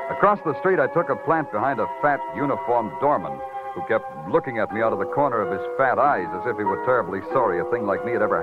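An actor playing a wry, hardboiled police detective in 1940s radio noir, sardonic and terse, also narrating. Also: male voice, medium pitch, natural voice. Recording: tinny, band-limited old radio recording with music.

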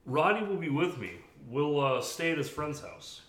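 A man's voice: on the high side, animated, normal speed, fairly bright tone. A man voicing an affectionate mother of a grown daughter in audiobook dialogue. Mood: reassuring. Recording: roomy echo.

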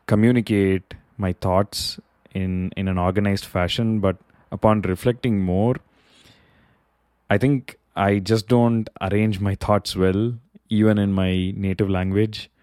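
A man whose first language is not English, hosting a solo podcast and thinking aloud with many pauses and filler words. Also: low voice, a little mellow, clear, resonant tone, dry recording, studio quality. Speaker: podcast host. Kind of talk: solo reflective podcast monologue. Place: Bay Area, California.

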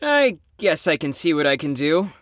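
A woman's voice: low voice, very animated, moderate pace, no room sound, clean studio sound. A woman playing a sardonic, teasing, brash young troublemaker in an audio drama.

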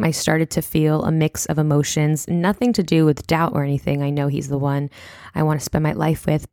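A woman's voice: low voice, darkish tone, no echo, studio quality. A young woman in her twenties hosting her own podcast, talking in a reflective, chatty way and sounding congested. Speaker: podcast host. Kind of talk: solo podcast talk.

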